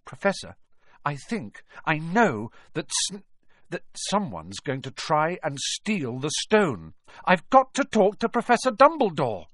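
A man's voice: a little high, wildly swooping pitch, a little slow, a bit bright, dry recording, studio quality, pitched above the performer's own voice, full character voice. A man voicing an earnest schoolboy in an audiobook, urgent and pleading to be believed. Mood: frantic. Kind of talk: audiobook character voice.

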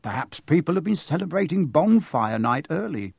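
A man's voice: fairly high pitch, big pitch swings, normal speed, creaky, a little mellow, breathy edge, no room sound, voice pushed up, heavily acted. A man voicing a genial, folksy male television weather forecaster with a chatty delivery in an audiobook.

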